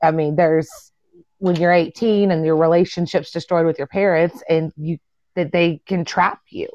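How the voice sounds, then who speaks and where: A woman in her thirties pours out her story in urgent run-on sentences. Her voice sits a little low, and it comes through a dull, muffled call line. The sound is dry and close. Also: steady tone, normal speed, a little mellow, dry recording. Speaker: former student guest. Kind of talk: casual personal account.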